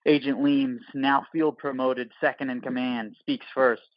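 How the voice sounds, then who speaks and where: A man's voice: mid-range voice, flat delivery, a little slow, no room sound, highs cut off. Male audio drama narrator, dry sound. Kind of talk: scripted audio drama narration, present tense.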